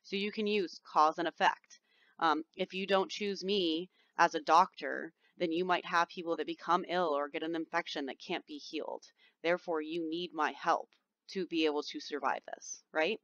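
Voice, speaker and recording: A woman's voice: low-pitched, steady tone, normal speed, fairly bright tone, no echo. A woman teacher with a slightly whiny voice records chatty, reassuring classroom instructions. She explains each step with plenty of ums. The sound is dry and a little dull, as from a basic computer microphone. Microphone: computer microphone, screen recording.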